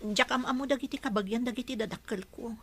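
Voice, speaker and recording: A woman's voice: a little high, quick pace, a bit bright, husky. An actress playing a humble, worried mother devoted to her child in a radio drama, with an Ilocano Filipino accent.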